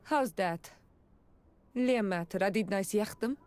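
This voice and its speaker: An actress playing a composed native island woman in a fantasy game, speaking in a measured way. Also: mid-range voice, darkish tone, lightly acted. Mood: reserved. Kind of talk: scripted game cutscene acting.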